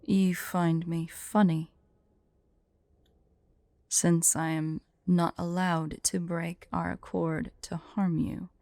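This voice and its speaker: A woman playing a haughty, prickly but secretly fond female dragon in an ASMR roleplay, imperious and deliberate. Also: on the low side, little pitch movement, a little mellow, no room sound. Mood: indignant, threatening. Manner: imperious, indignant, slow and deliberate.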